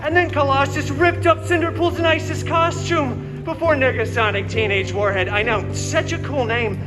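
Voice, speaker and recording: A man's voice: very high voice, moderate pace. A man playing a wisecracking, self-loving masked antihero who tells a comic bedtime story to a crowd with theatrical, sarcastic asides. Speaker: live stage show storyteller. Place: outdoor theme park stage. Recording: outdoor theme park show, music and crowd behind.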